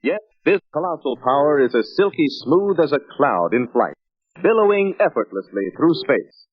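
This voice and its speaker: An American man narrates like a 1950s promotional film announcer, emphatic and polished. His voice sits a little high with a husky grain. The old soundtrack is narrow and tinny. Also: big pitch swings, moderate pace, no room sound, soft background music. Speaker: narrator of a sales training film.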